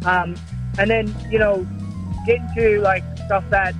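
A man's voice: high-pitched, fairly even intonation, a little slow, over music. A man being interviewed as a musician over a call line, easygoing and hesitant, with lots of fillers and restarts. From Canada. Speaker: musician guest. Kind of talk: casual interview answers. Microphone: remote call line.

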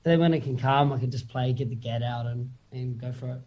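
A man's voice: mid-range voice, a little fast, darkish tone, highs cut off. A man with a New Zealand accent, a musician chatting casually as an interview guest, laid-back and full of slang and fillers.

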